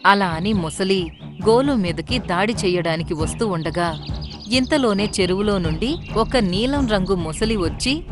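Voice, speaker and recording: A woman's voice: mid-range voice, lively intonation, unhurried, music in the background. A woman narrator reading a scripted children's story.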